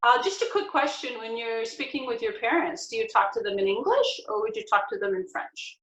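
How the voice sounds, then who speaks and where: An American woman in her forties hosting a casual video-call interview, asking animated, chatty questions. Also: fairly high pitch, a little fast. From United States. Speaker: host. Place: home room with bookshelf. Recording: echoey, dull video-call audio.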